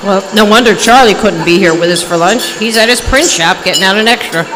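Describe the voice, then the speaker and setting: A man's voice: high-pitched, fairly quick, close to an everyday voice. An actor playing an eager local townsman guiding a ghost hunt in an old-time radio drama on stage. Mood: eager, spooked. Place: stage in a large reverberant room. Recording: live stage hall, reverb, faint noise.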